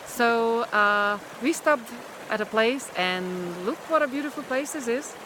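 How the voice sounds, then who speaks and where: Hungarian-accented lady vlogging by a loud river, bit high voice, lots of ums and pauses. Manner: hesitant, with many pauses and fillers. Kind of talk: casual vlog to camera. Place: outdoors by a river in the forest. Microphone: handheld camera or phone at arm's length. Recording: outdoor recording with rushing water noise. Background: rushing river water.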